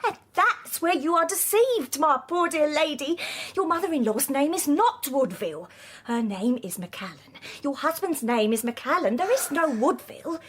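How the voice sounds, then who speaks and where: A woman's voice: high-pitched, expressive, moderate pace, fairly bright tone. An actress playing a prying, sanctimonious, self-pitying Victorian landlady in a radio drama, with prim, theatrical British speech and false sympathy. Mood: scornful.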